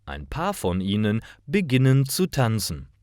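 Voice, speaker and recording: Male narrator, very slow deliberate reading, lively swooping intonation, learner storybook style. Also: fairly low pitch, no echo, studio quality. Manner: deliberate, clearly articulated read-aloud. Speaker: narrator of German learners' stories.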